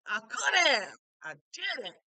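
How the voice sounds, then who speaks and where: A woman with a slight Panamanian Spanish accent telling personal stories in a casual, animated monologue, often checking in with "right?". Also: sits high, slow pace. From born in Japan, Panamanian family. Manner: animated, conversational, frequent "right?" asides. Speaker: self-help speaker.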